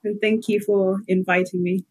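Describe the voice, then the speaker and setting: A woman from Malawi, a guest health psychologist who thinks aloud with pauses as she answers about herself. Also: medium pitch, level tone, a little mellow, slightly rough. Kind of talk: reflective personal interview answers.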